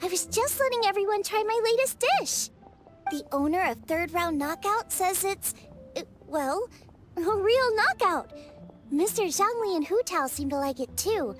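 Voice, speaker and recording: A bright-hearted, eager teen girl cook in an animated game. Her high voice is friendly and very lively, with music underneath. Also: moderate pace. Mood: cheerful, inviting. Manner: upbeat, eager. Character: cheerful, friendly, eager teenage girl chef. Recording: clear music bed behind voice.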